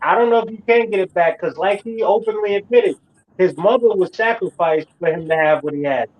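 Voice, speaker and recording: A man in his forties with a notably high-pitched voice talks in an easy, conversational way. He repeats his phrases to hammer home a point, like someone preaching to a friend. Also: brisk, rough recording. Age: 40s. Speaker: host. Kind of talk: casual chat.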